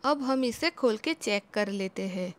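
Calm woman teaching a home recipe. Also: a little high, a little slow. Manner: calm, instructive, homely guidance. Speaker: home cooking channel host. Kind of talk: step-by-step recipe voice-over.

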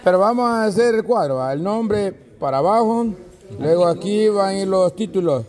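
A man's voice: high voice, lively intonation, moderate pace. A man with a Salvadoran accent hosting a cooking contest, casually directing people where to stand and what to move. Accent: Salvadoran Spanish. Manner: bossy, directing people around. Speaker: host organizing a cooking contest. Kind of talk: casual chat. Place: large indoor sports hall with a crowd. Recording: loud crowd chatter behind.

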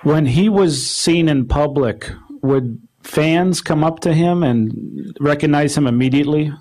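A man's voice: fairly high pitch, measured pace, a little mellow, slight background noise. A man interviewing a guest for a radio club, stumbling over his questions. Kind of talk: casual phone interview.

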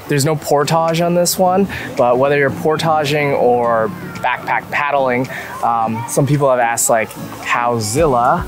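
A young man talking casually on an outdoor camping vlog, explaining his gear step by step. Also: medium pitch. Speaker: vlogger. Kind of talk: casual travel vlog narration. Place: outdoors by a lake. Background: background music.

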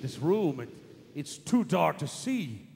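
Pleading, frightened old miser, acted by a man: high, creaky, radio play in a reverberant hall. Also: very animated, darkish tone, full character voice. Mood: fearful. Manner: anxious, halting, imploring. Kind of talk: staged radio drama reading. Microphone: stage mic on stand.

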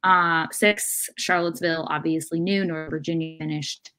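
A young woman on a video call, giving measured, halting sports analysis as a panelist in a casual discussion. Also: deep voice, steady tone, sharp tone. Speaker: soccer podcast panelist. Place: indoor room at home, video call. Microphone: video call microphone.

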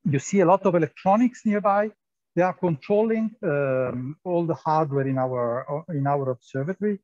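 A man's voice: fairly high pitch, moderate pace, a little mellow, muffled recording. A man guiding a tour through a large indoor lab, explaining as he walks, with many fillers and repeated words. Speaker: tour guide at a physics lab.

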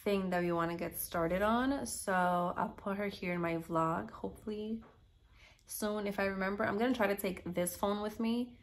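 A young woman vlogger speaks at a middling pitch. She chats informally to the camera in slangy, casual language, as if talking to friends. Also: fairly even intonation, normal speed. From Queens, New York, United States. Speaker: lifestyle vlogger.

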